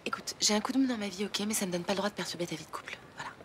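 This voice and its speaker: A weary, conciliatory woman, as an actress plays her speaks softly but quickly. Her tone is soothing and placating in a TV soap scene. There is background noise behind her. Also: mid-range voice, close to an everyday voice. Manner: soft, placating. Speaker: Charlotte, Luna's business partner. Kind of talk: soap opera acting.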